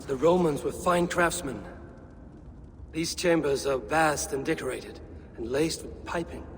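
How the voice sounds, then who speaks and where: A man voicing a serious, watchful Viking warrior in a video game, measured and quietly questioning. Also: a little high. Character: steady, watchful Viking warrior, loyal and probing. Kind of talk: video game cutscene acting. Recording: long echoing reverb, faint ambient noise.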